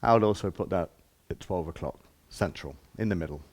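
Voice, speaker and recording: A man's voice: low-pitched, a little fast, a little mellow, slightly rough. A man giving an informal hands-on demonstration as a shop presenter, explaining step by step in plain, casual words.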